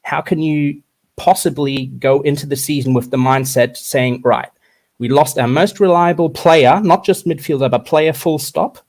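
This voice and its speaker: A man's voice: fairly high pitch, darkish tone. Fired-up German-Australian man. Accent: German-Australian mix. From Australia, German-born. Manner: fast, impassioned, halting restarts. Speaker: caller. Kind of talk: passionate phone-in rant.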